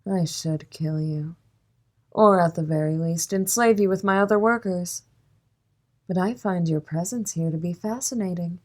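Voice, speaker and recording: Woman acting a low-voiced, silky female crime boss. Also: a little mellow, close to an everyday voice. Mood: coolly menacing. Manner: polite, measured, coolly amused formal speech. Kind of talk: audio drama acting.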